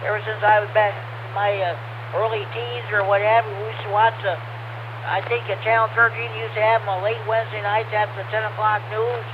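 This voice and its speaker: A man's voice: sits high, flat delivery, brisk. A man in his sixties running a ham radio net as net control, chatting in a rambling, filler-heavy way. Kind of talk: casual chat over ham radio. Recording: lo-fi radio transmission with clear hiss.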